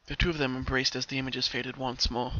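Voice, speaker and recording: A man's voice: mid-range voice, flat delivery, fairly quick, sharp tone, highs cut off. A man reading a scripted story aloud as its narrator, in a dramatic storytelling style.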